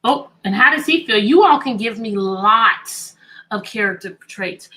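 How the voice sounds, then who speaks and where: A woman's voice: medium pitch, lively intonation, a little slow, sharp tone. A woman teacher hosting an interactive children's read-aloud, telling the story expressively and putting repeated questions to her listeners.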